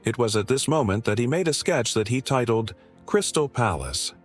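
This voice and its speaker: A man narrating a scripted documentary in a measured storytelling manner. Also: medium pitch, animated. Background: background music.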